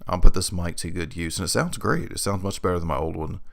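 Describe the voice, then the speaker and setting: A man's voice: sits low, expressive, fast talker, vocal fry, fairly bright tone. A young man hosting a podcast alone, getting over a head cold and rambling through an update with lots of fillers. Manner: rambling, with many fillers and restarts. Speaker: podcast host. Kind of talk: casual solo podcast update. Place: his room in a new apartment. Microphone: new home studio mic.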